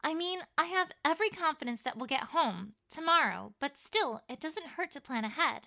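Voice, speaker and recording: A woman plays an anxious, bookish young mare in an audio storybook. The voice is extremely high and piercingly bright. She stammers and frets dramatically. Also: expressive, normal speed, vocal fry, full character voice. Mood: grim, resigned. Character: anxious, bookish young female pony, fretting and livid. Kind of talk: dramatised fan fiction reading.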